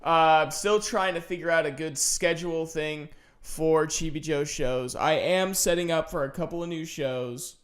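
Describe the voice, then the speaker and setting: A man hosting a solo podcast, chatting casually and unscripted in a rambling, halting way. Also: a little high, steady tone, a bit bright. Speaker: podcast host and gaming video creator.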